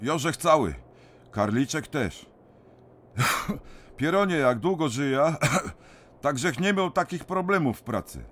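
An actor playing a rough-spoken, pious miner with a strong Silesian accent. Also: male voice, fairly high pitch, animated, takes it slowly, long pauses, fairly bright tone, full character voice. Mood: urgent. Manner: rough-spoken, dialect-heavy. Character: gruff pious Silesian miner, adult man.

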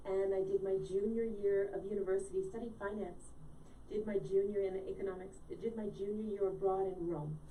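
A chatty woman in her late fifties, originally from Connecticut, tells her story with a mellow, soft tone. She sits back from the mic in an echoey room with a faint hiss behind her. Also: medium pitch, fairly even intonation, brisk, far from the mic. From Connecticut, USA. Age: late 50s. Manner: chatty, storytelling, quizzes the host. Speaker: guest, wellness coach. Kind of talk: casual live-show conversation.

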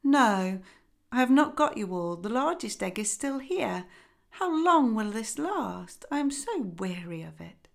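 A woman voicing a fretful, fussy mother duck, higher and slower than her narration. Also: big pitch swings, gravelly, heavily acted. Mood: weary, fretful. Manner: fussing, scolding, proud.

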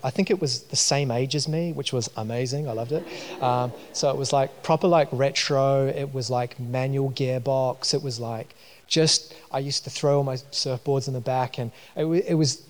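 A man from New Zealand with a New Zealand accent, preaching a sermon in a conversational way, with many fillers and restarts. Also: medium pitch. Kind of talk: sermon with personal story. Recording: long hall reverb.

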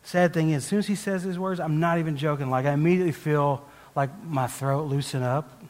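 A man's voice: on the high side, steady tone, a little fast. A man preaching a conversational sermon as a guest speaker, telling a personal story in a rambling, self-deprecating way with frequent restarts and laughs. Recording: long hall reverb.

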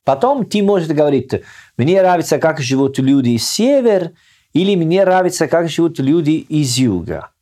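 Italian man, Italian-accented, halting delivery with frequent fillers, casual interview guest. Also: fairly high pitch, expressive, dark tone. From southern Italy. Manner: hesitant, halting non-native phrasing. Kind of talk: casual conversation.

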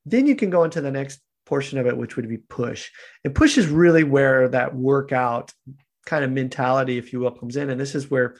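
A man with a gravelly, creaky voice at an ordinary middle pitch, talking through his training method in an easygoing podcast chat. He coaches earnestly and slips into little bits of inner dialogue to make his points. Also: fairly quick. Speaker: podcast guest, fitness coach. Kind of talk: casual explanatory chat.

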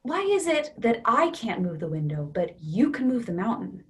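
A woman reading the part of a calm, literal-minded character who keeps pressing her point, in a staged play reading. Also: a little high, moderate pace. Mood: arguing calmly. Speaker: reader in a readers theatre play.

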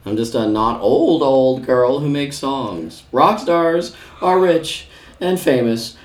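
A woman's voice: very deep voice, expressive, slow pace, a little mellow, exaggerated voice. Woman acting a middle-aged songwriter lady, self-deprecating, kinda hesitant, rambles on, radio play style. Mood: wry, casual.

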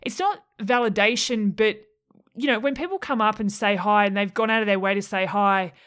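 Aussie woman hosting a podcast, chatty, lowish voice, easygoing. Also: moderate pace. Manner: chatty, lively, low voice. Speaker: podcast host, athlete. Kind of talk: casual interview chat.

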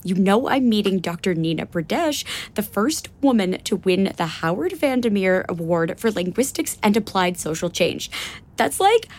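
A woman's voice: mid-range voice, very animated, normal speed, a little mellow. A woman playing an earnest, wordy young academic in a comic audio drama, with an American accent and emphatic delivery. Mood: nervous, earnest. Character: earnest, nerdy, indignant young woman linguist.

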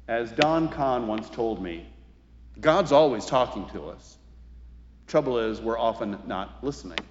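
An American man in his sixties delivers a sermon in a long, echoing hall. His pitch swings widely from emphatic rises to low phrase endings, and he pauses deliberately. The sound is slightly muffled. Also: expressive, a little hiss or hum. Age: 60s. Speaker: pastor preaching a sermon. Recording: muffled, reverberant hall recording.

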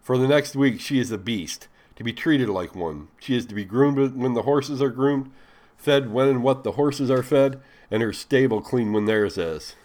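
A man's voice: fairly low pitch, animated, normal speed, darkish tone, lightly acted. A man voicing a cold male slave owner in a spoken story, giving curt, harsh orders. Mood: ordering.

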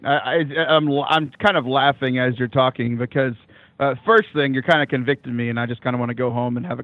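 A young man with a loud voice, talking casually as an interview guest, animated, with many fillers and restarts, laughing often. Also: mid-range voice, no echo. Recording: dry, phone-like call line.

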